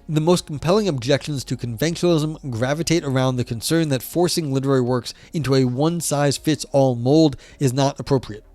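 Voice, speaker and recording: Hoarse, scratchy-voiced man streaming. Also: mid-range voice, darkish tone. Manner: reads passages aloud then riffs casually, swears, self-deprecating. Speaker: streamer reading philosophy text. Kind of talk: casual livestream reading and commentary.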